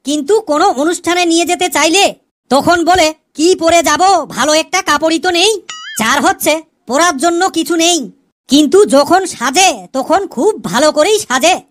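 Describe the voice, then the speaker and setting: A woman's voice: very high voice, lively intonation, normal speed. A woman voicing a cheeky male pupil in a comedy cartoon, explaining things to the teacher with mock earnestness. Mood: mock-earnest. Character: cheeky male pupil Kalu, joking about wives and women.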